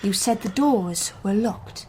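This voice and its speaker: A woman playing a determined, earnest young Victorian wife turned detective, intense and deliberate, in a period radio drama. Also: mid-range voice, background noise, close to an everyday voice. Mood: probing.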